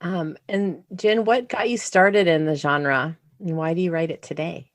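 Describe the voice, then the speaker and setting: A woman co-hosting a podcast who welcomes her guest and asks calm, measured questions. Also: fairly low pitch. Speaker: podcast co-host. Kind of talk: podcast interview.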